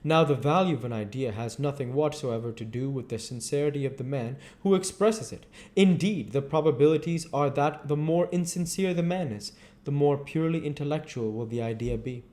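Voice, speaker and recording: A man voicing a witty, vain English gentleman from a novel, with an exclamatory, teasing and pompous manner. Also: medium pitch, fairly quick, few pauses, close to an everyday voice. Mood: teasing, opinionated.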